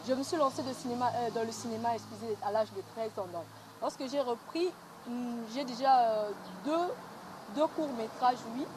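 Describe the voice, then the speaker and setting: High-voiced young Congolese woman, noisy recording. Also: normal speed. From Republic of the Congo. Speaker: interviewed film actress and director. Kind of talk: conversational interview answers. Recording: clearly noisy location sound.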